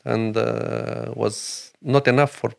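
A middle-aged man from Romania with a Romanian accent, a chef and restaurant owner speaking earnest, halting English in a podcast interview. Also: medium pitch, steady tone, vocal fry. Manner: broken English, earnest and emphatic, many pauses.

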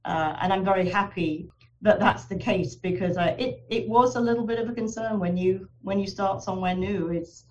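A woman's voice: medium pitch, moderate pace, muffled recording, a little hiss or hum. English woman speaking from a distance. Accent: southern English. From England. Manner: articulate, earnest, many fillers and restarts. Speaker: HR director, podcast guest. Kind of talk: conversational interview.

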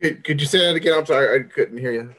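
A man giving casual answers as an interview guest, in halting, repetitive phrases. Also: on the high side, fairly bright tone.

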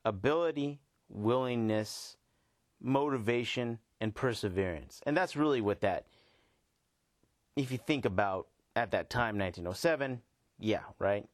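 A man hosting a podcast alone with casual commentary, phrasing things in halting, emphatic pieces. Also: mid-range voice, animated, no echo, clean studio sound. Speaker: podcast host.

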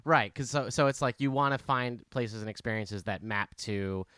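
Man, middle-aged, podcast host, rambling halting questions, smooth voice, dry close sound. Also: medium pitch, sharp tone, no room sound. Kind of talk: casual interview talk.

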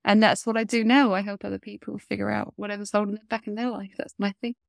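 Woman, 40s, interview guest, stuffy nose, husky fry in the voice, mid pitch, chatty and earnest. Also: flat delivery, fast, no echo, clean studio sound. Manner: earnest, chatty, many fillers and restarts. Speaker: guest, coach. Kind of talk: casual interview chat.

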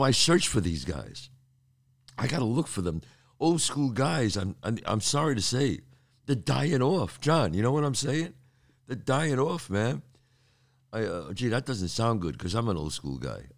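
An older man hosting his show, talking casually and streetwise with a cold in his throat. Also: low-pitched. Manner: streetwise, chatty, repeats himself. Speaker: podcast host. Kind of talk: casual monologue to listeners.

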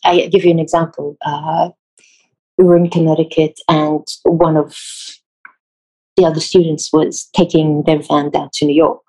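A woman from Israel who works as a theatre designer answers interview questions in a thoughtful, measured way, pausing and correcting herself as she goes. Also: deep voice, level tone, dark tone, breathy edge, low-quality recording.

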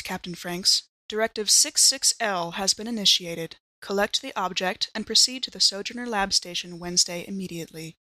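A woman voicing a cold, emotionless ship's computer in a formal, measured way for a sci-fi horror game. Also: mid-range voice, sharp tone, no room sound, close to an everyday voice.

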